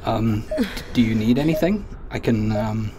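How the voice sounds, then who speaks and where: A man's voice: on the low side, animated, normal speed, heavy background noise, close to an everyday voice. An actor playing an awkward, literal-minded young man on a spaceship crew who stammers when nervous. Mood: flustered.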